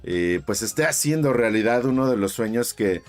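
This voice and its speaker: A man's voice: on the low side, animated, normal speed, faint music behind. A man from Mexico, hosting his channel's interview in a chatty, effusive way with lots of fillers. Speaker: YouTube channel host.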